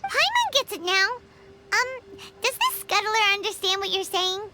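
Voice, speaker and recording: A woman voicing a tiny, excitable, bossy childlike fairy companion in a video game. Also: very high voice, moderate pace, heavy background noise. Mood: curious. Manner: exclaiming, speaks of herself in third person. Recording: game music behind the voice.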